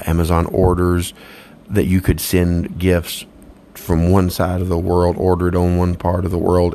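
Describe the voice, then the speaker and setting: A man's voice: deep voice, vocal fry, mellow, a little hiss or hum. A man giving a sermon on Bible prophecy, reading from prepared notes and stopping often to correct himself. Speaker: preacher.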